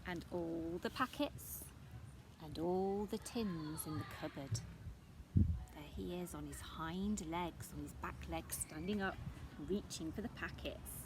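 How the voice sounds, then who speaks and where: Animated woman reading to young children. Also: mid-range voice, background noise. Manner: questioning, chatty asides to young listeners. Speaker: storyteller reading a picture book. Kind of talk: expressive read-aloud for young children with asides.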